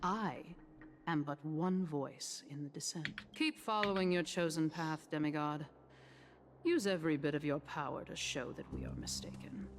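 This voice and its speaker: A woman voicing a sly, haughty female council elder in a video game, formal, deliberate and condescending. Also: deep voice, background noise. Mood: haughty warning. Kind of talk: scripted video game acting. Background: game soundtrack music.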